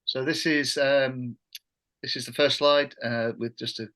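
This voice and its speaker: A middle-aged man hosting an informal online workshop on a video call, phrasing things haltingly. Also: mid-range voice, fairly even intonation, sharp tone, low-quality recording. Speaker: workshop host, education trainer.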